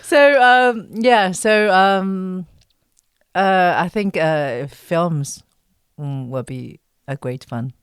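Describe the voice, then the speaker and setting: A woman's voice: fairly low pitch, very slow, clear, resonant tone, no echo, clean studio sound. A woman from China speaking as an interview guest, an actress answering casually in hesitant, thoughtful phrases.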